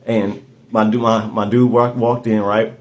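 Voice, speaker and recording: A man's voice: fairly low pitch, level tone, a little slow, a little mellow. A man, hosting a podcast and telling a story in casual chat, rambling and restarting his words often. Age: 30s. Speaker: podcast host.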